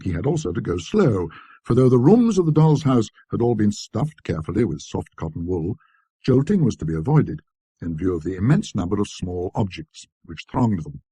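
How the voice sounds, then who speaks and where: A man reads a classic ghost story with scholarly precision. His low voice is mellow and a little husky, rising and falling with great animation, in a close, dry recording. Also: very animated, normal speed, no room sound. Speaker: narrator. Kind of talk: scripted ghost story narration.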